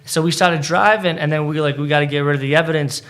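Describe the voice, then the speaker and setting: A man's voice: on the high side, fairly even intonation, quick pace, fairly bright tone. A young man from Boston, a Polish native speaker, telling stories in casual chat with lots of fillers and restarts. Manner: rambling storytelling with many fillers and restarts. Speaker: stand-up comedian guest.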